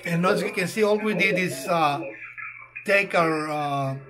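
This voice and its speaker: A man in his fifties with a fairly high-pitched voice presents a hobby tutorial. He explains things step by step in a measured, halting way, often repeating a word before going on. He is recorded at about arm's length in a room at home. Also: noisy background. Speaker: presenter of a DIY hobby channel. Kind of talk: explanatory tutorial talk to camera.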